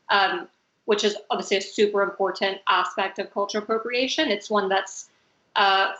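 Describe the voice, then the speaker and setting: A woman's voice: mid-range voice, bright, forceful tone. A young woman from the United States moderating a panel discussion over a video call, talking with many fillers and hedges. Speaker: talk moderator. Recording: roomy, muffled call audio.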